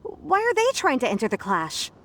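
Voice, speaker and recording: A woman's voice: sits high, wildly swooping pitch, moderate pace, a bit bright, full character voice. A woman voicing an eager, gossipy onlooker in a crowd in a video game. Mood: excited.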